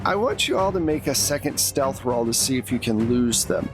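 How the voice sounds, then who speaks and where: A man's voice: medium pitch, animated, normal speed. A man running a tabletop roleplaying game as game master, describing scenes to the players in vivid, conversational second person. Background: background music.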